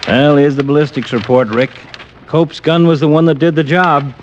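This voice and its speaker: An actor playing a blunt, impatient police lieutenant who sounds exasperated, in an old-time radio detective drama. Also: male voice, on the high side, moderate pace, faint music behind, natural voice. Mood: stern. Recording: muffled, noisy old radio recording.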